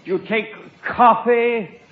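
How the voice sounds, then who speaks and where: Stuffy, high-voiced butler. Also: male voice, takes it slowly. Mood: politely deadpan. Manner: formal, deferential, deadpan. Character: stuffy, unflappable, very proper male butler. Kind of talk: 1950s radio sitcom acting. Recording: noisy, dull, roomy radio recording, audience.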